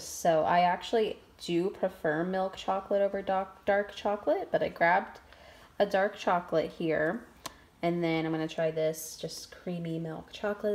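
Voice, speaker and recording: A woman's voice: fairly low pitch, darkish tone, a little hiss or hum. A woman in her thirties chatting casually through a keto food and grocery vlog in her home kitchen, upbeat and full of fillers. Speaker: keto vlogger.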